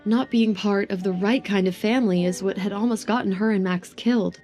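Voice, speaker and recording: A woman's voice: medium pitch, fairly quick, a little mellow, faint music behind. A woman narrating a scripted romance audio drama.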